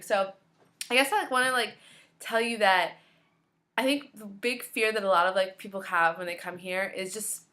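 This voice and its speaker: Chatty young woman, a bit hoarse. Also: mid-range voice, pushed and bright. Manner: chatty, many ums and likes. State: slightly hoarse, losing her voice. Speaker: vlogger. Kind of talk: casual chat to camera.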